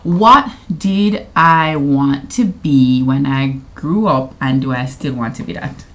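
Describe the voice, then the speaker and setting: A woman's voice: extremely low pitch, takes it slowly. A woman in her thirties with a Jamaican accent, chatting to camera in a playful vlog and slipping into patois. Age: 30s. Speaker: vlogger. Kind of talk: casual anniversary vlog to camera.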